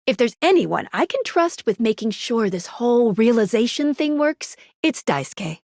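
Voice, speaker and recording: A woman's voice: a little high, sing-song, normal speed, a little mellow, dry recording, clean studio sound. A woman voicing an encouraging, welcoming female guide in a video game.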